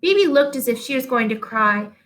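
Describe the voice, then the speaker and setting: A woman reading a children's storybook aloud in an expressive storytelling style. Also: high-pitched, fairly quick.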